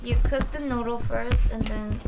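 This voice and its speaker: Cheerful high-voiced woman. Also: fairly even intonation, normal speed. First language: Korean. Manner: cheerful, playful, laughs often. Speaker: dancer and dance teacher. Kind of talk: casual vlog chat. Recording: music over the vlog. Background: music.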